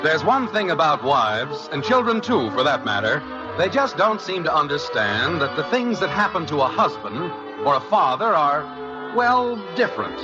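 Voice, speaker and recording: A man's voice: a little high, very animated, moderate pace. A man playing a self-assured, condescending husband and father who narrates a 1950s radio comedy. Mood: smug, confiding. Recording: dull old radio recording, music.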